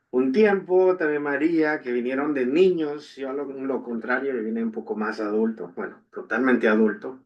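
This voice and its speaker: A young man from Guatemala with a Guatemalan Spanish accent, co-hosting a podcast and telling his story in a casual, rambling way full of fillers and restarts. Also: mid-range voice. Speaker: podcast co-host. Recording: dull lo-fi podcast audio.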